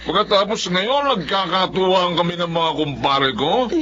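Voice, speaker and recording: An actor playing an abusive drunken husband in a radio drama, barking threats and taunting questions. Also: male voice, sits high, moderate pace, sharp tone, a little hiss or hum. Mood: angry, menacing. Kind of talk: Tagalog radio drama acting. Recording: muffled audio with music behind.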